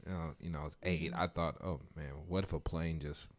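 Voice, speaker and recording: A young guest in his twenties talks on a sports show. His voice is very deep, and he stumbles and repeats himself as he speaks. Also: steady tone, a little fast, no echo, studio quality. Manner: hesitant, stammering.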